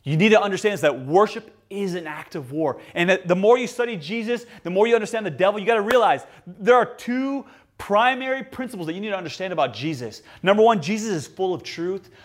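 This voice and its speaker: A young adult man preaching a sermon as a pastor, telling stories with emphasis and swinging between loud lines and hushed ones. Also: high-pitched, expressive, fast. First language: English.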